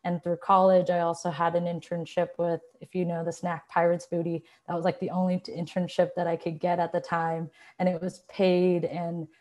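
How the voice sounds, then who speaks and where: A woman in her thirties speaks through an earphone mic on a video call, her voice sitting a bit low. She tells her story in a loose, chatty way at home. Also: monotone, normal speed, hardly any pauses, darkish tone. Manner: chatty, lots of "like" and "you know". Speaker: panelist. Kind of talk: casual career talk on a panel. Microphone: earphone headset mic on a video call.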